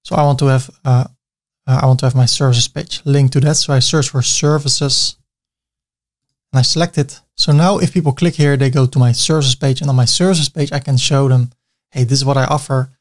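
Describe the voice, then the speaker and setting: A man in his thirties with a clear Dutch accent talks through building a website step by step. His voice sits at a middle pitch, and he keeps it chatty with small jokes at his own expense. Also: fairly even intonation, brisk. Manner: chatty, self-mocking asides. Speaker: web design tutor. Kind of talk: screen-recorded software tutorial.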